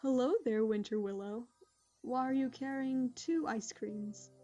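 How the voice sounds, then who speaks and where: A woman playing a gentle, concerned pony princess and wise mentor, speaking in a measured, formal, kindly way for an animated audio drama. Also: on the high side, natural voice. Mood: curious, kindly. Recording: slightly dull audio, faint music.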